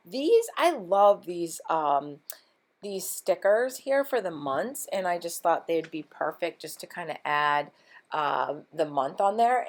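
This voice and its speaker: A woman with a voice she says is going hoarse, chatting casually through a scrapbooking tutorial with lots of ums. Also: lowish voice. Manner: rambling, chatty, many ums. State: losing her voice. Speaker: scrapbooking vlogger. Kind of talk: casual craft tutorial chat.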